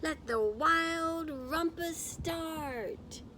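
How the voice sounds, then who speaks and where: A woman voicing a bold, bossy little boy in a children's storybook, giving commanding, drawn-out proclamations. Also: high-pitched, noisy background, voice pushed up.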